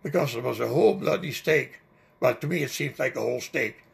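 Gravelly older man telling a memory. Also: medium pitch, lively intonation, brisk. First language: not English. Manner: rambling, vivid recollection with fillers. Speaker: interviewee. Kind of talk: oral history storytelling.

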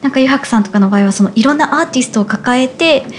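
A woman hosting a casual interview, an eager listener full of quick reactions. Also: high-pitched, a little hiss or hum. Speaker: host.

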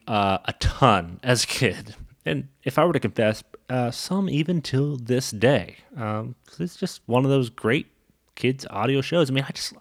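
A man's voice: medium pitch, dry recording, clean studio sound. A man hosting a podcast in a casual, chatty, informal style. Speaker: podcast host. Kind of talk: casual podcast introduction and interviewing.

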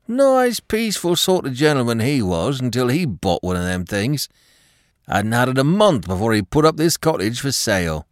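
A man's voice: mid-range voice, dry recording, clean studio sound, pitched above the performer's own voice, natural voice. A man voicing a chuckling old gardener. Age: older. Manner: folksy rustic dialect, chuckling. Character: old male village gardener, stubborn and placid.

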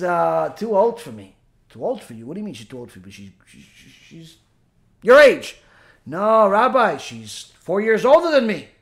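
A man's voice: high-pitched, a bit bright. A man speaking as a rabbi giving a religious lecture, emphatic, with rhetorical repetition and bits of acted-out dialogue.

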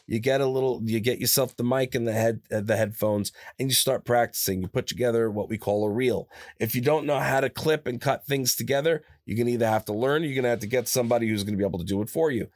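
A man chatting casually in an interview as a voice actor, telling stories in a rambling, animated way and often repeating himself. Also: dry recording, clean studio sound. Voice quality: higher-pitched natural voice. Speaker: voice actor guest. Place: his own studio. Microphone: studio microphone, close.

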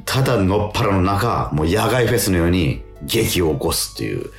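Male radio co-host, low voice with lively swings, halting anecdotal chat, music underneath. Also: creaky.

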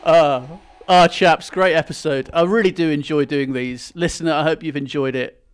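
A man's voice: fairly high pitch, fairly even intonation, normal speed, faint music behind. A man hosting a podcast in casual, jokey chat and closing the episode. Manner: jokey, wraps up the show. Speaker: podcast host.

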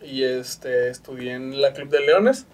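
A man's voice: mid-range voice, takes it slowly, darkish tone. A man in his thirties from Chihuahua with a northern Mexican accent, a podcast guest chatting casually in a slangy, laid-back way with free swearing. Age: 30s. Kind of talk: casual chat.

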